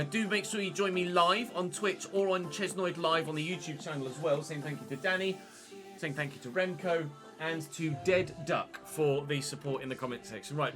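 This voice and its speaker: A young man commentating his football video game career, chatty and excitable, breaking into shouts when he scores. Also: fairly high pitch. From England. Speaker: gaming YouTuber.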